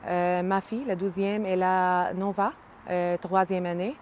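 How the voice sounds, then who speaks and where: A Syrian woman in her thirties whose first language is Arabic, speaking hesitantly with many pauses and fillers in an interview. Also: fairly low pitch, little pitch movement, a little mellow, slight background noise. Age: 30s. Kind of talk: casual interview.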